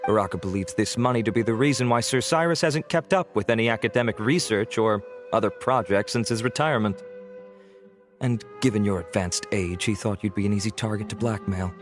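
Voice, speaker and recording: An actor playing a measured, formal investigator who reports findings politely, in a game scene with faint music. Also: male voice, on the low side, natural voice.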